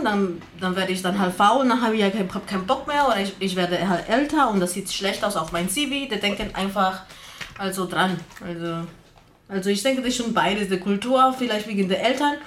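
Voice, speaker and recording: A woman's voice: medium pitch, a little hiss or hum. A woman from Houston, Texas, a guest on a radio panel, speaking German as a with frequent grammar slips and fillers. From Houston, Texas, USA. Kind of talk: casual radio panel chat.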